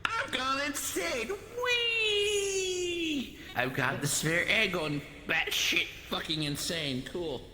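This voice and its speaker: Animated, sharp-voiced man swearing and joking. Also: extremely high pitch, slow pace. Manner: profane, excitable, joking. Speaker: player in an online roleplay group. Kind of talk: casual roleplay banter in VRChat. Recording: roomy echo, faint background noise.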